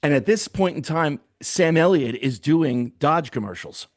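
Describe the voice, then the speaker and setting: A middle-aged man hosting a casual podcast, telling stories in a relaxed way and laughing often. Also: fairly high pitch, unhurried. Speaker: podcast host. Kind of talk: casual chat.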